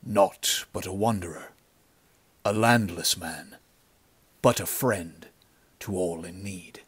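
A man voicing a grim but gentle wandering swordsman in a storybook, murmuring softly. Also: slow pace, slightly rough, no echo, exaggerated voice. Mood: gentle, soothing. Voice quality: soft, low murmur.

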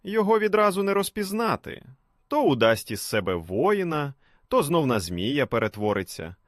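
A humble, oppressed villager from a storybook folk tale, voiced by a male narrator. The speech is highly expressive in pitch, in a dry, close sound. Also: moderate pace, no room sound. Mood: fearful. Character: frightened, oppressed villagers, grateful to their rescuer.

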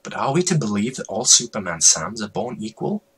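Man, low husky voice, mock-serious storyteller, theatrical swings in intensity. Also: very animated, a little fast, fairly bright tone, rough recording. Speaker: reader of a comic fan story. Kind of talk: dramatic reading of absurd fan fiction.

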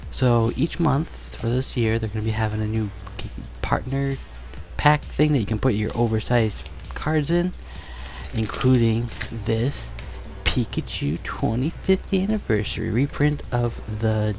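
A young man chatting casually and excitedly while opening trading cards in a bedroom, on a headset mic. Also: mid-range voice, vocal fry. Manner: upbeat, chatty, excited exclamations. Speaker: card collecting vlogger. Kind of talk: casual unboxing chat. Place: bedroom studio, indoors.

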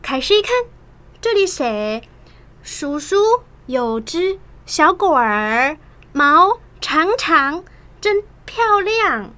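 A woman's voice: high voice, very animated, very slow, pushed and bright, exaggerated voice. An actress playing a young city mother in a radio drama, coaxing her toddler with careful, affected sing-song words.

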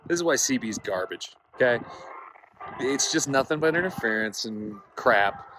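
A man's voice: mid-range voice, lively intonation, normal speed. A man doing a casual field test outdoors, talking in a blunt, grumbling way. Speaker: radio gear reviewer. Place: outdoors on a mountain top. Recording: noisy outdoor recording with radio interference.

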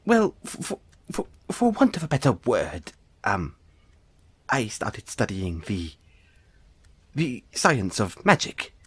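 A man playing an anxious, apologetic dollmaker in an audio roleplay, stammering and halting as he speaks to the listener. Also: fairly low pitch, huge pitch swings, husky, no echo, heavily acted. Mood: nervous, hesitant. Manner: stammering, halting, flustered, placating. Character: anxious, apologetic male dollmaker who dabbles in soul magic.

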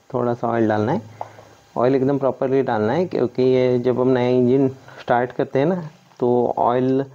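A man's voice: medium pitch, dark tone. A man giving hands-on instructional commentary as a mechanic, explaining and pointing things out step by step. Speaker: car mechanic presenting a tutorial. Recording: dull lo-fi sound.

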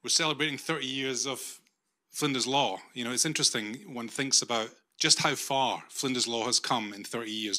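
A man's voice: medium pitch, sharp tone. A middle-aged man, a university leader, giving a formal vote of thanks in a lecture room, speaking into a handheld microphone with many ums and restarts. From not Australia. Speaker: university vice-chancellor.

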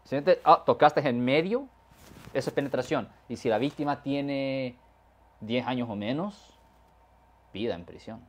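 Talkative California-born lawyer explaining law. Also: male voice, mid-range voice, a little slow, pauses often. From California, USA. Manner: explains at length, mixes English words into Spanish. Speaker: criminal defense lawyer. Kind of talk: conversational legal explanation.

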